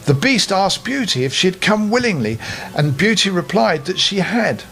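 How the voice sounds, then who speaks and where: An older man tells a children's story in a fairly high voice. His intonation is very lively and expressive. He speaks as a storyteller to young listeners. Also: moderate pace, vocal fry, soft background music. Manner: animated, with friendly asides to young listeners.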